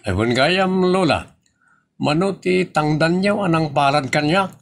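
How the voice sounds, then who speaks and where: A man plays a patient, kindly aid-giver with an Ilocano accent in a comedy audio drama. His gravelly voice swings widely in pitch, with small conversational hesitations. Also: expressive, a little slow. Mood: helpful, amused. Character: kindly, patient male charity vlogger giving aid.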